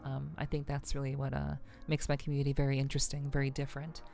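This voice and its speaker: A woman hosts a cozy, casual ASMR livestream in a low, soothing voice with plenty of vocal fry. She speaks in a relaxed, halting way, addressing viewers directly. Faint music plays behind her. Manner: relaxed, unhurried, halting delivery. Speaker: VTuber livestream host.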